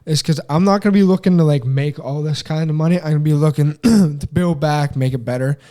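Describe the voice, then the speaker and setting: A man's voice: fairly high pitch, a little fast, dark tone. A young man co-hosting a podcast, talking earnestly in a rambling way, full of "like" and "dude". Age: young adult. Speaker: podcast co-host. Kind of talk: casual chat.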